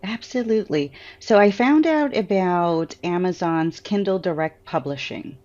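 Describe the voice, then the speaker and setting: A woman with an American accent, an author answering interview questions in a lively storytelling way and laughing often. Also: lowish voice, unhurried, lo-fi sound. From Trinidad. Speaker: author and guest.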